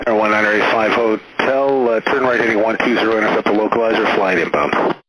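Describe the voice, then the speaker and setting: Low-voiced male air traffic controller on radio. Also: fairly even intonation, a bit bright, breathy edge, dry recording, poor audio. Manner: halting, procedural phrasing with frequent hesitations. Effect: aviation radio.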